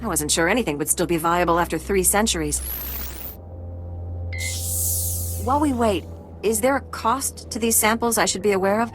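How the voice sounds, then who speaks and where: A woman acting a brisk, dry-witted medical researcher in a video game, wry and matter-of-fact. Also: mid-range voice, faint music behind, natural voice.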